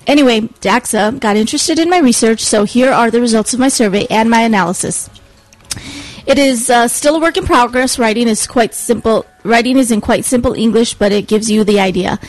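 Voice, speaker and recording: A woman's voice: a little high, fairly quick, no room sound, poor audio. A woman co-hosting a casual podcast, chatting and reading out listener emails. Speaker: podcast co-host.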